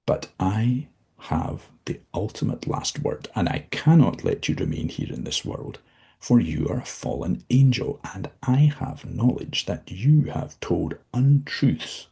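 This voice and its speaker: A man's voice: fairly low pitch, sing-song, measured pace, creaky, mellow, husky, voice pushed up, full character voice. A man voicing the devil as a formal, condescending and menacing figure. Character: the devil, suave, menacing, all-knowing male.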